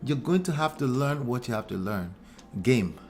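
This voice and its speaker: A man from Nigeria, co-hosting a casual relationship chat in an animated, blunt way, repeating phrases for emphasis. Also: mid-range voice, normal speed, faint music behind. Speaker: talk show co-host.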